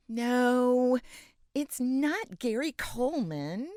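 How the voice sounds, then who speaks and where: A woman's voice: fairly high pitch, very animated, drawn-out words, a little mellow, no echo, clean studio sound, heavily acted. A woman playing a mad scientist's sardonic, flirty assistant in a comedic audio drama, with a theatrical, sultry delivery. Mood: urgent, exasperated.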